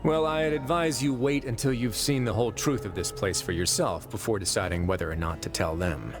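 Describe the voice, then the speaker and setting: A man acts out a self-possessed fortress warden in a video game, with authority and dry wit. His voice sits fairly low and he explains things in a measured, formal way. Music plays clearly behind him. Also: close to an everyday voice. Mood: wry, composed. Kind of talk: English video game voice acting.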